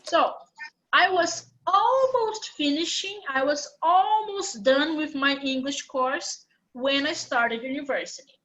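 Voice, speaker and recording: A woman's voice: high voice, takes it slowly, a bit bright, poor audio. A woman teaching an English grammar class online, explaining patiently step by step.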